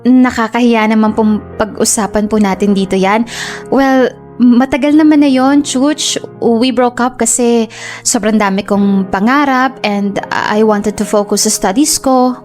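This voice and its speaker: A cheerful young woman, voiced by an actress in a radio drama, a little high-pitched, chatty and earnest. She also narrates, over faint background music. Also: unhurried, darkish tone, lightly acted. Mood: embarrassed, happy.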